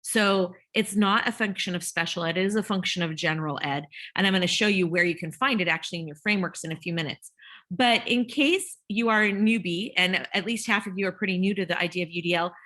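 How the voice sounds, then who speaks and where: A woman's voice: on the low side, fast talker, pushed and bright. A woman presenting a teacher-training workshop over a video call, chatty, with fillers and restarts. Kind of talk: casual conference presentation.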